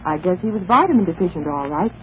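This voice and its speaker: An actress playing an enthusiastic housewife advising a friend in a 1940s radio commercial. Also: female voice, fairly low pitch, animated, fast, close to an everyday voice. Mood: enthusiastic selling. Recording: tinny, noisy old radio broadcast.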